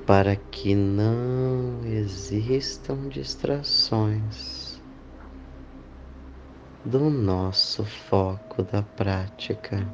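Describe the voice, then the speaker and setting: A man leading a guided meditation, speaking in deliberate phrases with long pauses. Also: lowish voice, dull audio, slight background noise. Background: clear background music.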